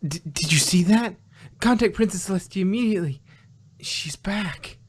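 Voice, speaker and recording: A woman's voice: mid-range voice, expressive, moderate pace, a little hiss or hum, natural voice. A woman voicing an anxious, earnest young female pony who studies magic, flustered and stammering, in an amateur fan audio drama. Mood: panicked, urgent.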